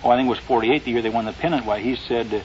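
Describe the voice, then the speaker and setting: Fast, folksy man on vintage radio. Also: mid-range voice, a little mellow. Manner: halting, folksy storytelling. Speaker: guest sports writer. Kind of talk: early 1950s radio interview. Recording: dull, noisy old radio recording.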